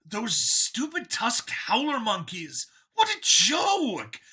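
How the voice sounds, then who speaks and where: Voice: medium pitch, huge pitch swings, slow, pushed and bright, slightly rough, voice pushed up, exaggerated voice. A narrator voicing a smug, bigoted android copy of a man in an audiobook, with an eager, boastful, emphatic and sneering delivery. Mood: sneering, fervent.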